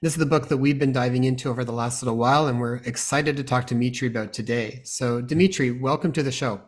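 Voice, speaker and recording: A man's voice: medium pitch, fast. A man hosting a podcast, introducing his guest in a friendly, rambling way. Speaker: podcast host. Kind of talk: casual podcast interview.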